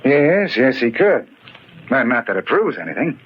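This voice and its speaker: A man playing an earnest police commissioner in a 1940s radio mystery, formal and deliberate as he puzzles things out. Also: medium pitch, big pitch swings, lightly acted. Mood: curious. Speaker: radio actor. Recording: muffled, noisy 1940s radio recording.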